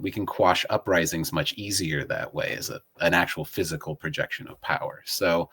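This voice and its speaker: A man's voice: deep voice, fairly bright tone, breathy edge. A man co-hosting a casual discussion show, explaining things in a discursive, thinking-aloud way. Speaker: co-host of a local civic affairs show.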